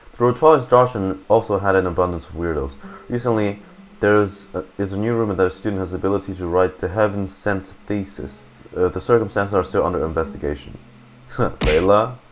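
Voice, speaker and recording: Game reaction host: man, deep voice, understated, reading on-screen text over soft music. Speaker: gaming streamer.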